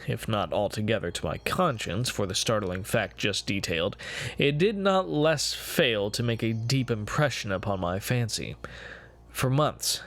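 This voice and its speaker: A man performing a dramatic gothic horror reading as a guilt-ridden, morbid narrator, with formal, deliberate, theatrical phrasing. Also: medium pitch, close to an everyday voice. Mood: brooding, confessional. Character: guilt-ridden, morbid male narrator confessing his cruelty. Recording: music playing behind the voice. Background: music.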